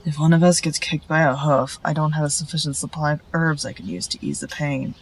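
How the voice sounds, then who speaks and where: A woman's voice: low voice, a little fast, breathy edge. A woman playing a skeptical, sarcastic and wary female wolf healer in a scripted fantasy drama. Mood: sardonic. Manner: sardonic, wary.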